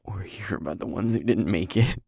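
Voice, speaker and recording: A man playing a guilt-ridden young werewolf boyfriend in an intimate audio roleplay, speaking haltingly and rawly in a husky, creaky, breathy voice. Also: low-pitched, darkish tone, no room sound, studio quality, exaggerated voice. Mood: grieving, hopeless. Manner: halting, emotionally raw, broken-up phrases.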